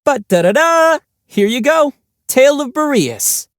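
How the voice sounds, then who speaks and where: A man voicing a young adventurer in a video game, calm, kind and dryly witty, with a gently teasing, unhurried delivery. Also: very high voice, background noise, exaggerated voice. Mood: playfully showy.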